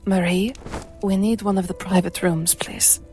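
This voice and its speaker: A woman's voice: mid-range voice, steady tone, a little slow, a little mellow, faint music behind, natural voice. A woman playing a worldly, protective adventurer, affectionate and self-assured, in a reassuring, familiar manner. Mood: quietly reassuring.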